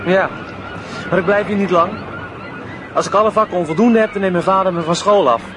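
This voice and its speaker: Man acting cocky, coarse schoolboy, fairly high. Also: a little fast, a crowd in the background, noisy background, lightly acted. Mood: sullen, boastful. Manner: blunt, offhand. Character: coarse, cocky, nonchalant teenage village schoolboy. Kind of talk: radio drama acting.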